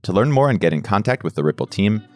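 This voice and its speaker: A man hosting a podcast, reading a scripted intro and sponsor message in a lively, enunciated way. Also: fairly low pitch, fast, soft background music. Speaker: podcast host.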